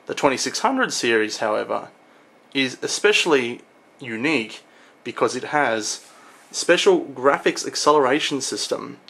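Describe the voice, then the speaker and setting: A young man presents a casual tech showcase in a medium-pitched voice. His delivery is informal and explanatory, with halting phrasing, picked up by a camera microphone in a small room at home. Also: animated, creaky, a bit bright. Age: young adult. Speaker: tech vlogger.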